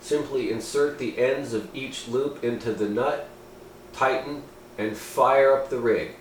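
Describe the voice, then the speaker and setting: A man's voice: mid-range voice, measured pace. Man in an echoey, noisy room, reviewing gear. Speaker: amateur radio hobbyist reviewing gear. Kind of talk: casual product review, partly reading aloud. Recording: roomy echo, steady background noise.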